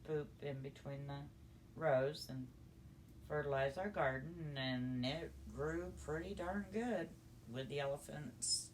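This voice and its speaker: A woman's voice: low voice, takes it slowly, slight background noise. An older woman telling stories casually as a guest, in a folksy, plain-spoken way. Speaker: storytelling guest. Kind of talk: casual storytelling.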